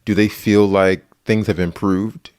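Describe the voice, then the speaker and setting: Deep-voiced guy hosting a news podcast, brisk scripted read. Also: flat delivery, a little mellow, clear, resonant tone. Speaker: podcast host.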